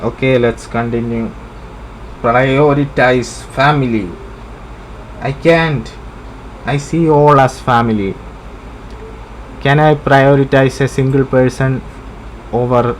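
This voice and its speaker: Slow-talking man doing casual game commentary. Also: medium pitch, a little hiss or hum, poor audio. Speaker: gameplay streamer.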